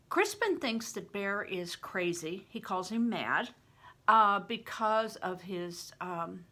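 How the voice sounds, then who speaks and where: A woman's voice: mid-range voice, bright, forceful tone. An older woman, a grandmother reading a story aloud and talking it through in an informal, explanatory way. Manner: explanatory, poses questions to listeners.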